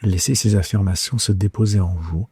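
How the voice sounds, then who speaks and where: A man guiding a yoga nidra meditation for sleep in a soft, breathy, soothing and hushed voice, with unhurried phrasing and long pauses. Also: low-pitched, steady tone, no room sound. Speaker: yoga nidra guide and podcast host. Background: soft plucked guitar music.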